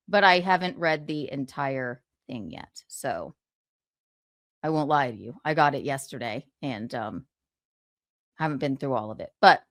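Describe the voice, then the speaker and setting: A woman with a fairly low voice reads book passages to her stream and keeps stopping to poke fun at them. She chuckles often between lines and thanks viewers for donations as she goes. The sound is close and dry, with no room around it. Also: dry recording. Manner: sarcastic asides, frequent chuckles. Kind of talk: reading a book aloud with live commentary.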